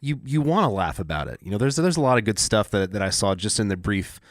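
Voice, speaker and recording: A man's voice: on the low side, expressive, quick pace, no echo, studio quality. A man co-hosting a podcast who jokes with the guest and often stumbles over repeated words. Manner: joking, stammering restarts. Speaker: podcast co-host. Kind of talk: casual podcast interview.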